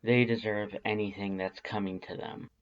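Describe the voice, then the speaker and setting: A man voicing a protective skeleton monster with a coldly calm, casual menace in a story reading. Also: on the low side, moderate pace, highs cut off, lightly acted. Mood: cold, resolved.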